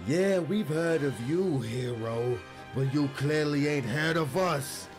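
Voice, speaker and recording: A man's voice: a little high, slow. A man voicing a smug, hulking skunk mercenary with menacing taunts in a comic dub. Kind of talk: amateur comic dub acting. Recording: loud music over the voice.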